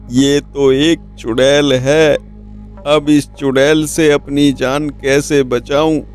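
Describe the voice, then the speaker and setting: A man's voice: a little high, smooth, voice pushed up. A man voicing a frightened village man who pleads for his life in a horror cartoon story. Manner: pleading, drawn-out. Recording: music behind the voice.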